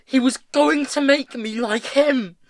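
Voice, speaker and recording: A man voicing an anguished, bitter young girl in an audiobook adventure, shouting accusingly in a shrill voice. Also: slow pace, no room sound, studio quality, exaggerated voice. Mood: furious anguish. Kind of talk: audiobook character acting.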